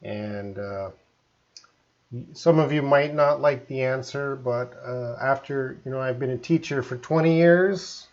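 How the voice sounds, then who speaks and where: A man's voice: mid-range voice, a little slow, dull audio. A man in his forties from Canada with a faint Canadian accent, teaching English on a live stream and chatting with viewers as he reads out their comments. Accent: mild Canadian.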